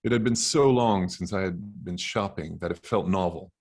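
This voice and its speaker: A man's voice: low voice, normal speed, darkish tone. A man reading a first-person novel aloud as its author, with a dry, wry delivery. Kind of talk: literary audiobook-style reading.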